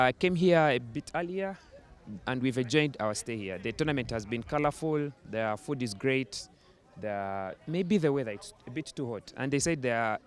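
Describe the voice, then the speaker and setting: Ugandan-born man, panel guest outdoors, friendly and laughing, mid pitch. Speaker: panelist, rugby writer. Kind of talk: casual panel chat.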